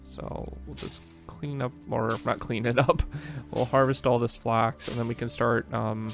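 A man hosting a casual let's play, talking in a relaxed, rambling way with lots of fillers while losing his voice. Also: medium pitch, a little slow, creaky, music in the background. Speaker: gaming channel host.

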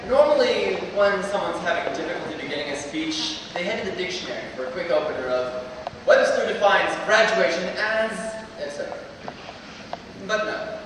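A teenage boy gives an earnest graduation address with dry humor, his voice high and swinging widely in pitch. He is picked up from a distance in a large auditorium, with long reverb rolling off every phrase. The murmur of a big audience sits under him. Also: expressive, normal speed, background noise. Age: late teens. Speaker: student graduation speaker. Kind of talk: commencement address. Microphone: stand mic, filmed from far off. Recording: echoey hall recorded from afar.

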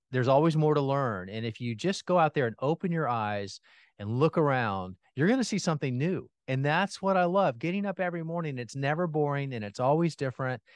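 A man as a podcast guest, talking about gardening with enthusiasm and animated energy, laughing now and then. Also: medium pitch, no echo. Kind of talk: casual interview chat. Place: home in Atlanta, remote call.

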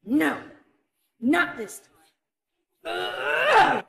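An earnest, resolute young heroine from a video game. She takes her words slowly and deliberately, with real feeling. Her voice rings out in long, hall-like reverb. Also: extremely high pitch, very animated, creaky, a bit bright, full character voice. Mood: angry, defiant.

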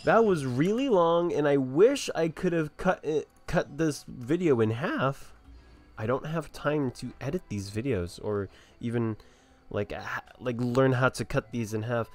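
A man's voice: medium pitch, expressive, unhurried, darkish tone. A young man giving casual, rambling commentary as he plays through a video game. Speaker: gaming video host. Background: game music.

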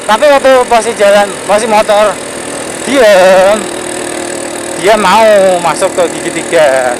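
A man riding a motorcycle, chatting casually in a loose, rambling way. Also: high-pitched. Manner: rambling, repeats phrases, pauses to recall words. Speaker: motovlogger. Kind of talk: casual narration while riding. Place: outdoors on a motorcycle on a road. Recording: engine and road noise.

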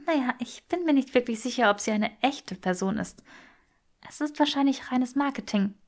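A polite but exasperated young woman in a fantasy audiobook, voiced by a woman narrator. Her voice sits a little high, measured yet faintly flustered and defensive.

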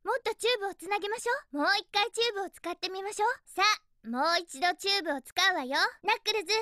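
A woman playing a perky, feisty young female hedgehog heroine in a Japanese video game, girlish, energetic and bossy. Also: very high voice, racing, no echo, clean studio sound. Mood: upbeat, determined.

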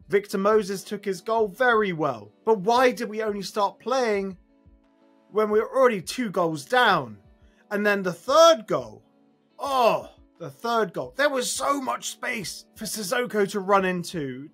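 A man in his thirties giving a blunt, emphatic, exasperated fan reaction to camera after a football match, with a husky voice. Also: high-pitched, a bit bright. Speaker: football fan channel host. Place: small room at home, indoors.

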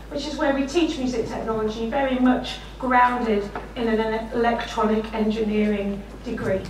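A middle-aged woman with a slightly croaky voice, giving a conference keynote with self-deprecating anecdotes. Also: fairly high pitch, a little hiss or hum. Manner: warm anecdotal, self-deprecating, often laughing while speaking. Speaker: keynote speaker. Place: lecture room, standing behind a desk. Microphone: room mic, far from speaker. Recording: echoey lecture room from far off.

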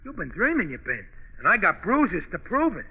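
An actor playing a plain-spoken working-class sidekick in a 1940s radio drama. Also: male voice, sits high, huge pitch swings, normal speed, slightly rough, exaggerated voice. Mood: urgent. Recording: dull, reverberant old radio recording.

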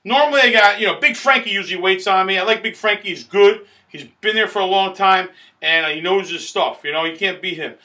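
A middle-aged man, hosting a restaurant review to camera in a loud, boisterous, salesman-like way that keeps repeating itself. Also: sits high, brisk. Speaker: food critic host. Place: small indoor studio in front of a banner backdrop.

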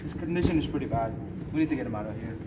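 A man's voice: mid-range voice, expressive, very fast, lightly acted. A man playing a concerned, urgent figure who takes charge in a drama. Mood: worried. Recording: distant, very noisy location sound.

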